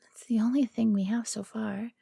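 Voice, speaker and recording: A woman playing a friendly, eager young female fox companion in an audio roleplay, chatty, upbeat and expressive. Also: fairly high pitch, normal speed, creaky, husky, no echo, exaggerated voice. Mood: thoughtful. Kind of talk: audio roleplay acting addressed to the listener.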